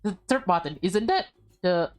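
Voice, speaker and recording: A woman streamer reacting excitedly as she plays and reads a visual novel aloud. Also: lowish voice, moderate pace. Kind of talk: live game reaction and read-aloud. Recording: game music behind the voice. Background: game music.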